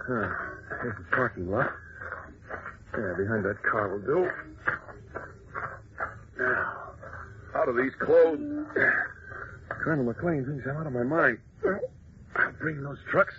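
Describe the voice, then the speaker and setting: Earnest reporter with a secret identity, lively pitch, 1940s radio drama, muffled noisy recording. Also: male voice, slow, long pauses. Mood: hurried, determined.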